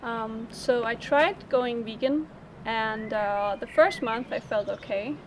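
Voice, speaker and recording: A woman from Denmark telling her personal story in an interview, in a halting, thinking-aloud way. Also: fairly high pitch, a little hiss or hum, low-quality recording.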